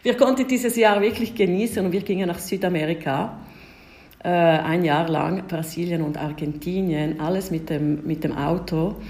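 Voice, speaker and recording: A woman in her forties from Ticino whose native language is Italian, speaking German with an Italian-Swiss accent as a diplomat guest on a podcast, hesitant and full of filler sounds but lively. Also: fairly low pitch, normal speed. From Ticino, Switzerland. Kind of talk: podcast interview storytelling.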